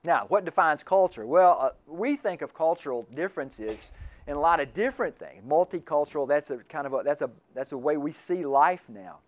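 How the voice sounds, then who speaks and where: Man, slightly high voice, informal classroom lecturer, talks in restarts and asides. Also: lively intonation, moderate pace. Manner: conversational, repeats words while restarting sentences. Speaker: lecturer on missions and medicine.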